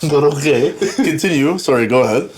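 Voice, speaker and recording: A man's voice: on the high side, animated, a little fast. A man hosting a podcast, chatting casually with a guest in a loose, joking, slangy way. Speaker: podcast host. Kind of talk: casual interview chat.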